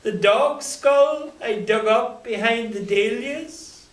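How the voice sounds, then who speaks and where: Scottish man, high voice, slow lilting poem delivery, recorded from far off in an echoey hall. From Scotland. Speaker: co-author at a reading. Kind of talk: reading poetry aloud to an audience. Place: hall at a public reading. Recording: echoey hall, taken from a distance.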